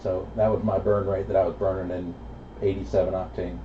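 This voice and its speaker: A middle-aged American man chatting casually over CB radio in a laid-back, halting way. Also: low voice, level tone. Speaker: amateur radio operator. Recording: echoey, muffled, noisy CB radio reception.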